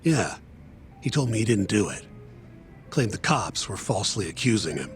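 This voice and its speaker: A man acting as a brisk, pragmatic, unsentimental defense lawyer in a video game, speaking bluntly and colloquially. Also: lowish voice, sing-song, soft background music. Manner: blunt, colloquial, matter-of-fact. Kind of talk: English game dub dialogue.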